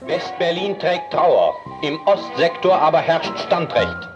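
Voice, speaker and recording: A man reads a dramatic newsreel-style report in a raised, fairly high voice. Music plays clearly behind him. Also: animated, a little slow, muffled recording.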